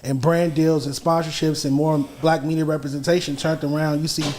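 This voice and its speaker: A man making his case calmly in a casual podcast debate. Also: on the high side, a little fast, slight background noise. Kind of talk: casual heated podcast discussion.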